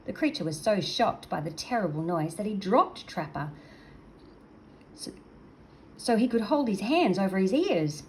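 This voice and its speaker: A woman's voice: mid-range voice, sing-song, measured pace, pauses often, poor audio. An older woman storyteller reading a children's story aloud expressively, in a grandmotherly manner. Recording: noticeable background noise.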